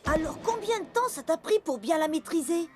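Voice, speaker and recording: A woman playing a young, easily discouraged boy footballer in an animated cartoon. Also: extremely high pitch, fairly quick, faint music behind. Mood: incredulous. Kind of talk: animated cartoon dub acting.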